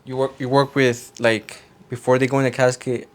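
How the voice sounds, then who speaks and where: A man's voice: medium pitch, little pitch movement, normal speed. A man hosting a casual podcast, welcoming his guest and asking friendly, encouraging questions. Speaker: podcast host.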